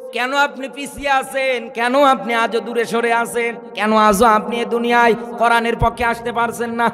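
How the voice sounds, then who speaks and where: A man's voice: extremely high pitch, a bit bright. A Bangladeshi man preaching an impassioned Islamic sermon in an oratorical manner, repeating phrases and putting rhetorical questions to his listeners. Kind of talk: impassioned religious sermon to a gathering. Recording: long hall reverb, amplified sermon.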